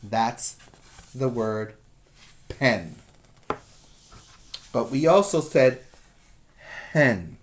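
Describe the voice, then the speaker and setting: A man's voice: medium pitch, expressive, extremely slow, pauses often. A man working as a preschool teacher, giving simple step-by-step instructions in a lesson for young children. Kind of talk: read-aloud and handwriting lesson for young children.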